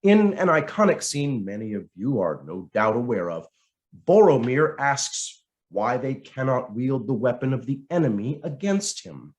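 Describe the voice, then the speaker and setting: Male narrator, mid-pitched, for essay reading; highly animated intonation, emphatic and deliberate. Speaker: reader of written commentary.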